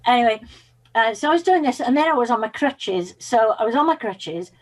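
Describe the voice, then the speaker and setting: A woman's voice: on the high side, expressive, brisk, low-quality recording. Chatty Welsh-speaking woman coach. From Wales. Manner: chatty, storytelling, with fillers. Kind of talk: interview guest.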